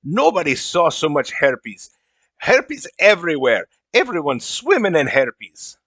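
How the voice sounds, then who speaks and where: A man's voice: fairly high pitch, very animated, measured pace, fairly bright tone, no room sound, full character voice. A man voicing a vain, indignant elf mage in a comedy, with a foreign accent and a haughty, theatrical delivery. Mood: boastful. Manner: haughty, dramatic.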